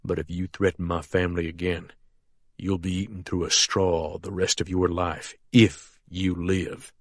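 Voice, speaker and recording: A man voicing a hot-tempered, physically huge male sheriff who barks his orders in a coarse manner and drops to a soft menace when he threatens someone. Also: mid-range voice, normal speed, no room sound, natural voice.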